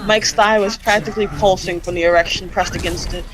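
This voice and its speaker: A woman's voice: medium pitch, steady tone, a little fast, crowd noise, rough recording. A woman reading fan fiction aloud in a casual group call, giggly and often stumbling and repeating phrases. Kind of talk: amateur read-aloud of fan fiction during casual chat.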